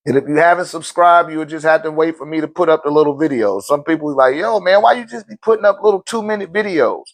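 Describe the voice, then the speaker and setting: A man hosting a spiritual live stream, talking loosely in slang and answering viewer questions in a relaxed, conversational way. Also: on the high side, fast.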